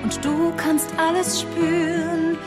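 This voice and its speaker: Woman as a very high-pitched, gentle children's verse narrator. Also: flat delivery, slow pace. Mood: gentle, dreamy. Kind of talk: slow gentle verse for a children's audio play. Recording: produced audio with loud music. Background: loud instrumental music.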